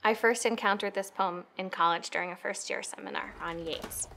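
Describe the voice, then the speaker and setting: A young American woman tells personal stories reflectively, with thoughtful, measured phrasing. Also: mid-range voice, fairly even intonation, creaky, fairly bright tone, slight background noise. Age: young adult. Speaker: poetry reader telling personal stories.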